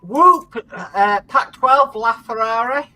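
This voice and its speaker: A man's voice: very high voice, expressive, takes it slowly, pushed and bright, soft background music. A man hosting a casual live chat, reading out viewer comments and going through the week's schedule. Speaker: host.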